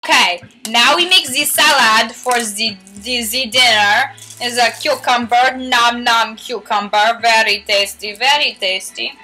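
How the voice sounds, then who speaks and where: A woman's voice: on the high side, unhurried, rough recording. A young woman playfully hosting a mock cooking show in a home kitchen, giving silly instructions in a mock-formal tone. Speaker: vlogger cooking show host. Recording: home video with loud music behind. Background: rap music playing.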